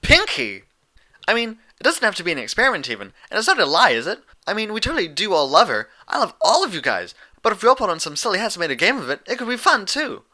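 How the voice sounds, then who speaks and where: An excitable girl pony from a storybook, acted by a man, chattering in a high, swooping voice. She gushes breathlessly, with a very bright, pushed tone. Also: fast talker, exaggerated voice. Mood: eager. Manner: gushing, breathless rush of words.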